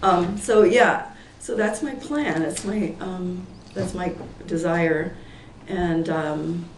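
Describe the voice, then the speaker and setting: Hoarse gravelly woman in her sixties. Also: fairly low pitch, takes it slowly. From Portland, Oregon, US. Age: 60s. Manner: rambling, many fillers and restarts, laughs lightly. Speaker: applicant at a public hearing. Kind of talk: informal testimony at a town meeting. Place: town meeting room. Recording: echoey hall, far from the mic, faint noise.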